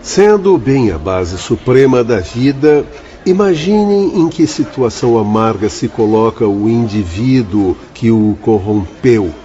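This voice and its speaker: An actor in a Brazilian radio drama playing an earnest, morally firm spirit doctor who explains things in measured, formal speech with a Brazilian accent. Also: male voice, mid-range voice, mellow. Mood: grave, firm. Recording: dull lo-fi audio, faint music.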